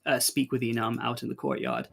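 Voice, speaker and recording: A man's voice: mid-range voice, fairly even intonation, fairly quick, creaky, a bit bright. A man running a tabletop game as game master, casually narrating and thinking aloud.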